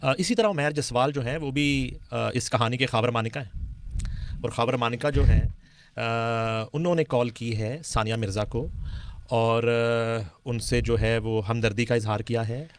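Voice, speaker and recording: A man giving conversational celebrity commentary, often pausing mid-sentence to find his words. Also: medium pitch, a little hiss or hum. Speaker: media commentator.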